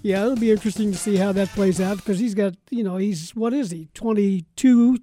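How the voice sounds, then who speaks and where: A man's voice: sits high, a little fast, mellow, faint music behind. An American man hosting a live sports talk show on radio in a conversational style. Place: radio studio.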